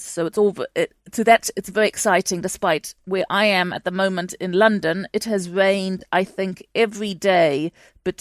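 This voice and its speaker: A woman's voice: lowish voice, no room sound. A woman from Chile, a guest historian, answering interview questions in a chatty way with many fillers and restarts. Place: home in London.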